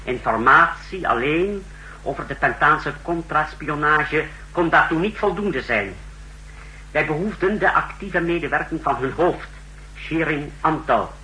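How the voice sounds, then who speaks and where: An ambitious, defensive secret police chief with a very bright, forceful and fairly high voice. He speaks formally and with self-assurance in old radio drama style, on a 1960s recording with faint noise. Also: expressive, a little slow, poor audio. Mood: formal, expository. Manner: formal, clipped military address.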